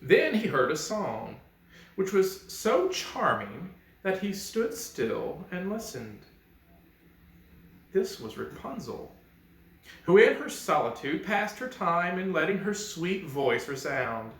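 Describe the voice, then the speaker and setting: A man narrating a fairy tale as a storyteller, with expressive, dramatic and deliberate phrasing. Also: high-pitched. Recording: echoey, roomy sound.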